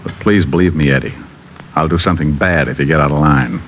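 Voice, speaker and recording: A cool American gumshoe, speaking in a deep voice with a dry, wry edge. He is casually menacing in the manner of vintage radio crime drama. The sound is dull and old, with music clearly behind. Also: fast. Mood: calmly menacing. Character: cool wisecracking hard-boiled male private detective. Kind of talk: 1940s-50s radio drama acting and narration.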